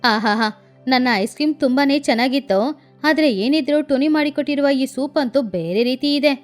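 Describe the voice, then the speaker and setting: A woman's voice: sits high, moderate pace, soft background music, natural voice. A woman voicing a fussy, theatrical adult female crow aunt in a cartoon, who wails and talks her way out of trouble. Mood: defensive. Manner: theatrical, wailing and self-justifying.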